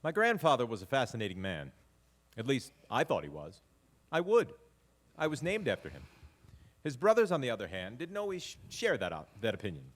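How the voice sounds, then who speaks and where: A man plays a grandson recounting family history in a live stage monologue. His mid-pitched voice is wry and fond, with lively, varied intonation. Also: brisk. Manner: storytelling, wry. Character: reflective grandson fondly telling family history. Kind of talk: dramatic monologue narration before live audience.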